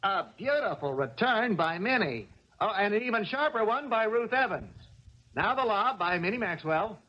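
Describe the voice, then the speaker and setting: A high-pitched sports broadcaster from a seventies radio drama. He is probing and dramatic, stumbling into repeats as he goes. The recording is dull, like an old broadcast. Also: very animated, normal speed. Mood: excited, dismayed. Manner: hesitant, repeating words. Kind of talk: 1970s radio drama, sports commentary and interview.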